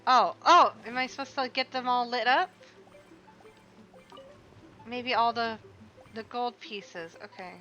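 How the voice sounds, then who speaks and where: A woman streaming a game, chatting casually, swearing and reacting loudly. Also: on the high side, takes it slowly, long pauses, highs cut off. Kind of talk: casual livestream gameplay chat.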